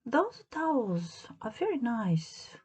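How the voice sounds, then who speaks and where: A woman's voice: fairly high pitch, big pitch swings, takes it slowly, darkish tone, slightly rough, pitched above the performer's own voice, full character voice. A woman voicing a cheerful, earnest, literal-minded housemaid from a children's storybook, with folksy, puzzled exclamations. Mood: puzzled, amused.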